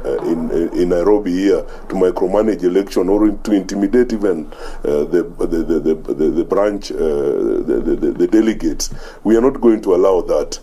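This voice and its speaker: A man, a football official giving a halting statement with many repeated words. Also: low voice, mellow, slight background noise. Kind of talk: news soundbite statement.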